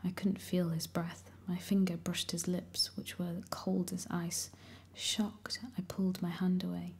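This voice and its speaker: A woman reading a first-person story aloud in a steady, hushed whisper. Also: lowish voice, a little slow. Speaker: audiobook reader.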